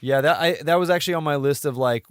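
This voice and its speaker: A man's voice: fairly high pitch, fast, clean tone, no echo, clean studio sound. An American man, around his thirties, chatting casually as a podcast co-host and rambling as he trails off mid-thought. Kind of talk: casual chat.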